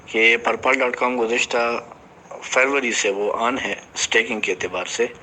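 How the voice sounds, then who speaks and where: Man, bright forceful voice, halting explanatory team announcement, English business terms mixed in, slightly noisy voice-message recording. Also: mid-range voice. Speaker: company director addressing team members. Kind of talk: informal spoken announcement to a team.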